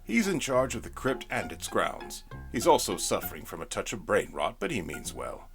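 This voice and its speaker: A man reading a spooky storybook narration in a playful, expressive way. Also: lowish voice, normal speed, soft background music.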